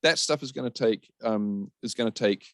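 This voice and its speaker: A man's voice: fairly low pitch, moderate pace, dry recording, clean studio sound. A man giving his opinions in casual, emphatic, sweary podcast chat. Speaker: podcast panellist.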